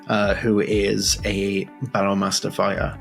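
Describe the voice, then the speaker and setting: A man with a fairly low voice and a noticeable creak hosts a casual podcast. He speaks conversationally, as in a relaxed chat between two people. Music sits faintly behind his voice. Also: measured pace. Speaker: podcast host.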